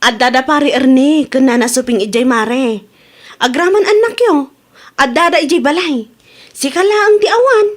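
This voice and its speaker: A high-pitched, chatty Ilocano village woman played by an actress in a radio comedy drama. She is frank and teasing with her friends, animated and quick to sound amused, with a Filipino Ilocano accent. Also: close to an everyday voice. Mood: teasing, insistent.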